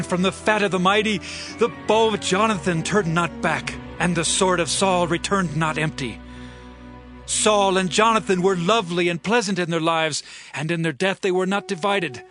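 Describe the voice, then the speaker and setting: King David as a grieving warrior-king, with a fairly high voice and a solemn, lamenting delivery. Gentle music sits faintly beneath his words. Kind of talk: dramatized scripture reading. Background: faint background music.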